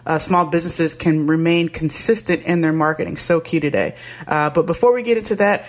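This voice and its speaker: A man hosting a marketing podcast, upbeat and peppered with filler ums and uhs. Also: fairly high pitch. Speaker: podcast host.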